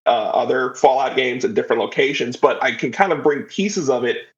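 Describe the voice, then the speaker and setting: A man's voice: mid-range voice, brisk, dull audio. A man speaking casually as a podcast guest, a writer chatting about his creative work and slipping in quoted asides. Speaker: interview guest, writer and audio drama creator.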